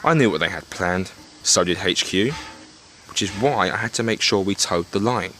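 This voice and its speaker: An actor playing a blustering, indignant British army captain of the First World War in a period audio drama, with a clipped English accent. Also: male voice, deep voice, moderate pace, sharp tone, a little hiss or hum. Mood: defensive, forceful.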